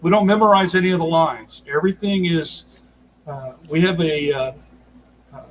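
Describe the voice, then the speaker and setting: A man explaining his work as an audio drama producer in a casual, halting way during an interview over a video call. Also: on the high side, poor audio. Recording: noticeable background noise, video call.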